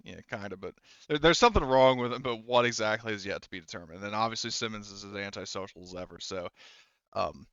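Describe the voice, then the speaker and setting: A man running a tabletop roleplaying game casually as game master, talking in a halting, rambling way. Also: fairly low pitch, a bit bright, clean tone, no room sound, lo-fi sound.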